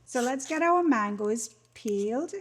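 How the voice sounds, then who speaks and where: A woman with a Trinidadian accent and a fairly high voice talks through a cooking demonstration. She explains things step by step in an informal, conversational way, as the cook showing her own method. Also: expressive, a little slow. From Trinidad and Tobago. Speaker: cooking demonstrator, small catering business owner.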